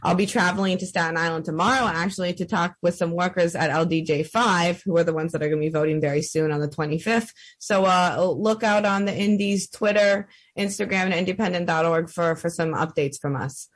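A woman's voice: lowish voice, fairly even intonation, a little fast, hardly any pauses. A woman reporter, upbeat and enthusiastic, giving a casual news update on the radio.

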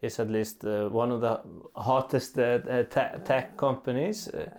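A male interviewer with a mellow, darker tone puts questions to his guest. He hesitates a lot, fills gaps with "uh," and keeps nodding along with quick yeahs. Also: mid-range voice, fairly even intonation. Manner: hesitant, frequent fillers and quick agreements. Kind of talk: conversational interview.

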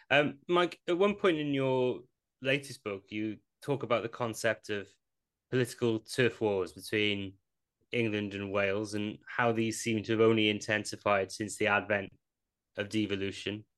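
Polite host guy asking questions, smooth clear voice. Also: fairly low pitch. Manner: polite, measured. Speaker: podcast host. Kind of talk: interview questions.

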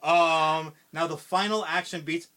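Guy on a podcast, kinda high voice, rambling and cussing a ton, lots of ums. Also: fairly even intonation, unhurried, sharp tone. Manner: rambling, heavy swearing, lots of fillers. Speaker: podcast co-host. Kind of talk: casual pitch-style movie retelling.

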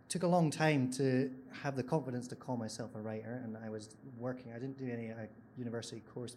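A man's voice: mid-range voice, darkish tone, slight background noise. A Scottish man, a screenwriter on a live panel, telling his path into writing in a rambling, self-deprecating way. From Scotland. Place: event stage with audience.

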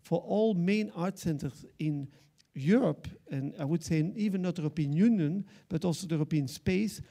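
Middle-aged Flemish-accented man, arts director at a panel; mellow, gravelly voice, halting delivery. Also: on the high side. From Belgium. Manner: halting, many fillers and restarts. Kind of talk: panel discussion remarks.

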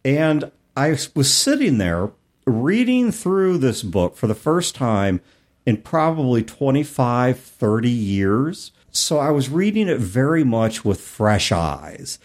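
A man's voice: medium pitch, big pitch swings, slow pace, darkish tone. A middle-aged man hosting a podcast, talking casually and explaining things conversationally. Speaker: podcast host.

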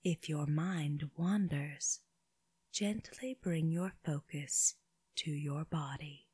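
A woman leading a guided meditation for children, speaking gently in short phrases with long pauses, her breathy voice often dropping toward a whisper. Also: low voice, no room sound. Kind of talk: slow guided body scan meditation.

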